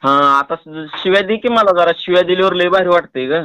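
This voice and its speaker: Male, high voice, rural Marathi accent, teasing prank caller, playful and pestering, dry sound. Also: a little fast, sharp tone, smooth, no echo. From Tuljapur, Maharashtra, India. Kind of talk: teasing prank phone call chat. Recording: close, dry phone call recording.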